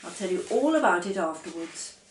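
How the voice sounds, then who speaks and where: A woman's voice: lowish voice, lively intonation, fairly quick, reverberant, slight background noise, close to an everyday voice, rough recording. A woman reading the part of an earnest, inquisitive eight-year-old girl in a children's storybook. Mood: tender.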